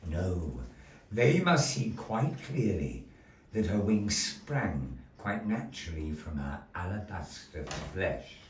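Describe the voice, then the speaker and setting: Slow, theatrical male storyteller in an echoey gallery. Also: sits low, distant mic. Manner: slow, theatrical storyteller with lively rises and falls. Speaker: puppet show narrator. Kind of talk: storytelling narration to a live audience. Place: gallery space. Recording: echoey gallery room, voice from afar, faint noise.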